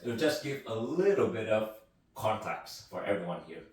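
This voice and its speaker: A man's voice: lowish voice, very animated, normal speed, very noisy. A man hosting a casual podcast, a native Vietnamese speaker, talking in a loose, rambling way. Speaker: podcast host. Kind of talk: casual conversation. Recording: echoey room, mic from a distance.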